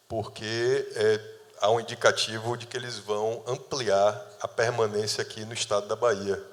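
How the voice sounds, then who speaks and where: A man's voice: lowish voice, a bit bright. A man moderating a panel, speaking in a formal, effusive way as he thanks guests and introduces speakers. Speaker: event moderator. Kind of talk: formal ceremonial speech. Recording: long hall reverb.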